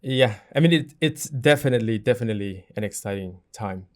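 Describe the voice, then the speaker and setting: A man, giving measured, reflective answers as an interview guest. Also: lowish voice, animated. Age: 30s. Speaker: parliamentary candidate, interview guest.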